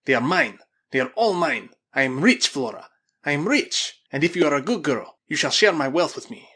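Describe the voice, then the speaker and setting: A man's voice: mid-range voice, lively intonation, a little fast, a bit bright, slightly rough. A man voicing a surly, boastful, half-mad Spanish villain in an adventure storybook, commanding and menacing. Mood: greedy excitement. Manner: menacing, commanding, given to ranting.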